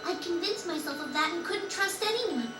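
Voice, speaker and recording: A woman playing a gentle, earnest young girl, sad but resolute, in a video game dub. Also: very high voice, animated, normal speed. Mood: wistful, resolute. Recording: roomy game audio, distant, music over it.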